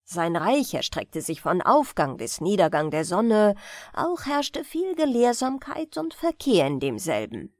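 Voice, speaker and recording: Slow, expressive woman reading fairy tales. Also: medium pitch, no room sound, studio quality. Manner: measured, expressive storytelling. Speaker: audiobook reader. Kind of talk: scripted fairy-tale narration for bedtime.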